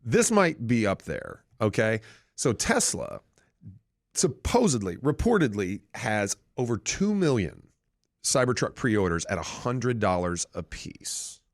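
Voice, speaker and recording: Radio host, male, middle-aged, low and very expressive delivery, dry studio sound. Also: a little slow, dry recording. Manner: animated, lively storytelling with big pitch swings. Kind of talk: casual radio talk. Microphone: studio microphone.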